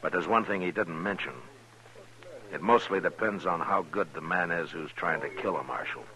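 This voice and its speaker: A man's voice: low-pitched, normal speed, pushed and bright, low-quality recording. An actor playing a terse, unflappable lawman in a 1950s radio western. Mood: grave warning. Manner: terse, level, commanding. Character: stern, unflappable frontier marshal, a grown man.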